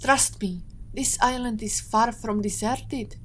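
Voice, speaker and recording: A woman playing a composed, guarded captive agent in an audio drama, with measured and formal phrasing. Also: fairly high pitch, fairly even intonation, slight background noise. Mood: grave, explaining.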